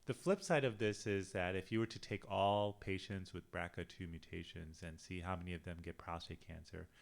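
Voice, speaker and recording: A man speaking as a urologist on a medical panel, talking haltingly with many restarts. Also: low-pitched, steady tone, gravelly. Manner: hesitant, many restarts and fillers. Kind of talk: panel discussion.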